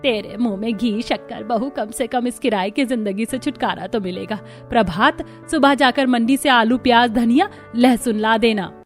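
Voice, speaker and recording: A woman's voice: on the high side, moderate pace, few pauses, close to an everyday voice. A woman voicing an older, thrifty, kindly mother-in-law in a Hindi village cartoon. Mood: hopeful. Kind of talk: Hindi moral-story cartoon dialogue. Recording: music behind the voice.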